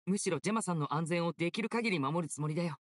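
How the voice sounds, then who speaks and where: A man playing a polite, gentle, self-possessed young stage magician in an anime game, courteous and reassuring. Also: a little high, rapid-fire, close to an everyday voice. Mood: earnest, persuasive.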